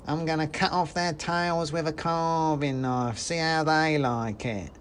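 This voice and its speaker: A man voicing a pompous, bossy male mouse soldier with a high-pitched voice in a spoken story. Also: fairly even intonation, a little slow, fairly bright tone, a little hiss or hum. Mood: blustering. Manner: blustering, bossy.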